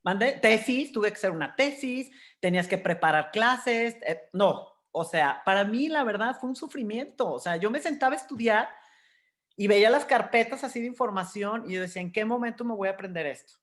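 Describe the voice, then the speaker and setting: A woman in her fifties speaks Spanish with a Mexican accent at a middle pitch. She is an interview guest sharing personal experiences in a candid, conversational way, with an expressive delivery that often acts out her own thoughts. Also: a little fast. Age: 50s.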